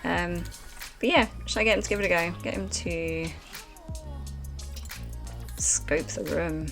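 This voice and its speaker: A woman's voice: on the low side, measured pace, vocal fry, a bit bright, faint music behind. A woman streaming a game live, chatting casually and rambling with lots of fillers at a desk microphone. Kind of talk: casual let's-play commentary chatting with chat.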